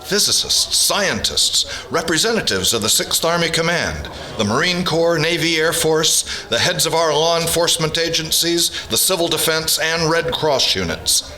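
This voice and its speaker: A man playing a grave army general in a radio drama, formal, measured and authoritative. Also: on the high side, sharp tone. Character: commanding, grave army general briefing officials.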